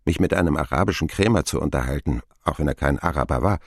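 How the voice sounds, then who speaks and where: An actor playing a grown man who wryly recalls his boyhood, narrating a radio drama in an expressive, sardonic manner. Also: extremely low pitch, quick pace, gravelly, husky, no echo, studio quality, full character voice. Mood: downcast.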